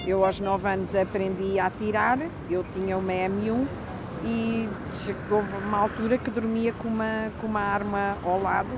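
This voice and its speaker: An older woman from Mozambique recalling her past in a documentary interview, reflective and halting, with frequent restarts. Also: medium pitch, level tone, background noise. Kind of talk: personal recollection.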